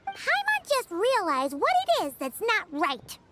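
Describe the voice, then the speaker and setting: A woman voicing a tiny, excitable, childlike fairy companion in a video game, squeaky and exclamatory, naive and a little greedy. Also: a little slow, heavily acted. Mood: eager. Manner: speaks of herself in the third person, exclamatory. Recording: loud music behind the voice.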